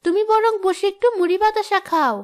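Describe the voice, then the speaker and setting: A woman's voice: extremely high pitch, fairly quick, a little mellow. A woman voicing a kind, hardworking young village girl in a cartoon, earnest and sweet. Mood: helpful. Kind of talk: children's cartoon voice acting.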